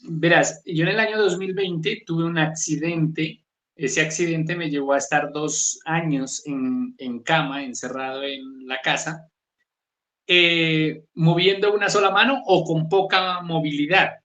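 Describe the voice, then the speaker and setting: A man's voice: fairly even intonation, measured pace, bright, forceful tone, echoey room. A man from southern Colombia with a Pasto accent, a guest author talking in a thoughtful, reflective way. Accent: Colombian, Pasto region. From southern Colombia, Nariño. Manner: thoughtful, varied pitch, asks "¿sí?" to check in. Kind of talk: reflective conversational interview.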